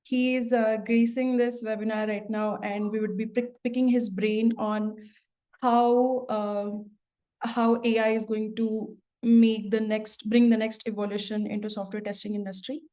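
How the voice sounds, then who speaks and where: A woman's voice: a little high, little pitch movement, measured pace, mellow. A woman hosting a work webinar, talking with frequent fillers and restarts. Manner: hesitant, many fillers and restarts. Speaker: webinar host, product manager. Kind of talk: webinar moderation.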